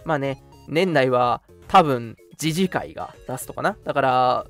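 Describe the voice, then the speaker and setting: A man's voice: medium pitch, expressive, a little slow, a bit bright. A young man co-hosting a live radio talk show, mixing casual, jokey banter with polite announcements. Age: young adult. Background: background music.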